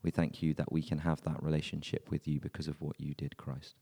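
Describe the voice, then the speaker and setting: A man reading scripture and leading prayer in a measured, earnest way at a church service. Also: very deep voice, level tone, creaky, warm tone, husky. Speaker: scripture reader and prayer leader. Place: church hall. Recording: long reverb of a church hall.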